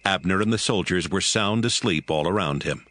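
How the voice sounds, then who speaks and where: A man narrating a scripted audio Bible as a story, in a measured storytelling manner. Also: low voice, fairly bright tone, dry recording.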